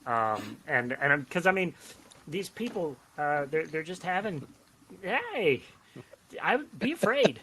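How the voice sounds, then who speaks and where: A man talking casually as a writer on a podcast, rambling and self-deprecating in an animated way. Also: fairly high pitch, slow, a little hiss or hum. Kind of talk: casual podcast chat about writing. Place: home office, on a remote call.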